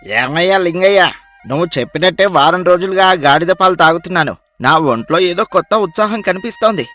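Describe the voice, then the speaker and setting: A man plays a swaggering village headman from a moral tale. The voice is fairly high for a man, pompous and bossy, full of gullible self-importance. Soft music runs behind it. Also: animated, brisk. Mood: pleased, boastful. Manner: pompous, boastful, commanding. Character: arrogant, gullible village headman boasting of his strength. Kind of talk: dramatised moral story dialogue.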